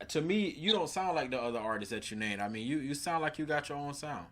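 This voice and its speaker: A man's voice: on the high side, lively intonation, fast, fairly bright tone. A man interviewing a musician, asking loose questions padded with fillers. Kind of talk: casual interview.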